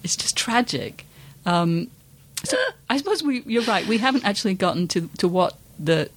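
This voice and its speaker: A woman's voice: medium pitch, expressive, normal speed. A woman co-hosting a podcast, chatting casually about film in an emphatic, wry, informal way. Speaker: podcast co-host.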